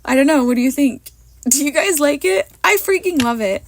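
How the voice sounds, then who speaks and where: A woman in her thirties or forties, hoarse from a cold, rambling in a chatty, self-mocking way as she narrates her card making at a craft table. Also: high-pitched, animated, fairly quick. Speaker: card maker. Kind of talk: casual crafting voiceover.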